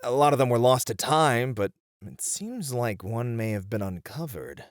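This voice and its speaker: A man's voice: medium pitch, expressive, normal speed, dry recording, clean studio sound, lightly acted. A young man playing a confident, snarky warrior in a fantasy roleplay audio drama, reasoning and teasing a rival dryly. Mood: matter-of-fact.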